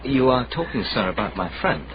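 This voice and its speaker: An actor playing a genial but evasive young gentleman in a radio drama, casual yet touchy. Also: medium pitch, very animated, a little fast, lightly acted. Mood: pleading, touchy. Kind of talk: period radio drama acting. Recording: muffled, noisy radio recording.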